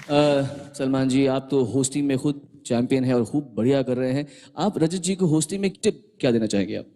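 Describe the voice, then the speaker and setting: A man's voice: mid-range voice, fairly quick, warm tone. A man with an Indian accent hosting a live event from the stage as master of ceremonies. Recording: reverberant large hall.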